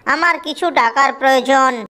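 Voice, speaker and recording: A woman's voice: high-pitched, normal speed, sharp tone. Woman voicing an eager young male cartoon bird. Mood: pleading.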